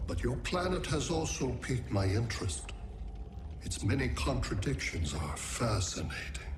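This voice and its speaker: An actor playing a calm, menacing alien male conqueror who collects worlds, speaking in a measured, clinical way in a video game cutscene. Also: medium pitch, background noise, close to an everyday voice. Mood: cold. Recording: echoey game mix with loud music.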